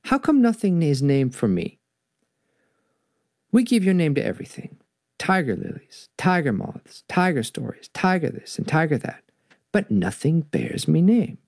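A man's voice: fairly high pitch, sing-song, a little slow, creaky, darkish tone, no echo, studio quality, voice pushed up, heavily acted. A man voicing a small, clever spider from a storybook, humble and pleading in manner.

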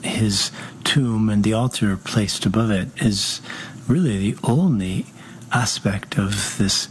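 A man, a parish priest hosting a radio interview, speaking gently and conversationally. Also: fairly low pitch, slow, low-quality recording.

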